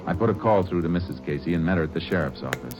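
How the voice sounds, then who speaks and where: An actor playing a steady, matter-of-fact lawman who narrates his case in an old radio drama, with clipped delivery. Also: low voice, racing, music in the background. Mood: terse. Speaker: narrator and lead. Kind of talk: 1950s radio drama acting.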